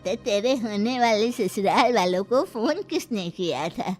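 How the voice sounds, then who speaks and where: A woman's voice: medium pitch, animated, a little slow, soft background music, close to an everyday voice. A woman playing a stern middle-aged mother who scolds and accuses her daughters in a cartoon story. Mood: scolding.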